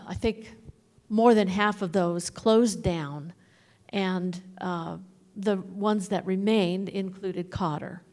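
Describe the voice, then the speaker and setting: Slow, hesitant woman explaining history. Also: medium pitch, dark tone. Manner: slow, hesitant, many fillers. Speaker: panelist on local history. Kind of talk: unscripted explanation to an audience. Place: museum meeting room.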